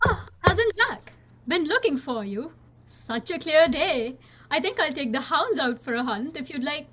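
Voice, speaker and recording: A woman playing a guarded, highborn young lady with a genteel, fretful way of talking in a radio drama. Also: very animated, moderate pace, background noise. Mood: breezy.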